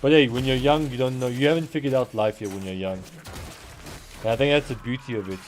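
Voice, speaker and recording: A man's voice: mid-range voice, fast, slight background noise. A man in his twenties with a mixed South African and Turkish accent, chatting casually while streaming a game, rambling with lots of "like". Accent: mix of South African and Turkish. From born in Turkey, raised in South Africa. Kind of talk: casual live-stream gaming chat.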